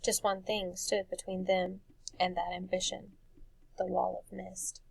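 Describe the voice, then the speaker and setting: Creaky-voiced woman narrating a story. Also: fairly low pitch, level tone, measured pace, dry recording, rough recording. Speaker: audiobook narrator. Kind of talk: expressive scripted narration of a children's dark fantasy story.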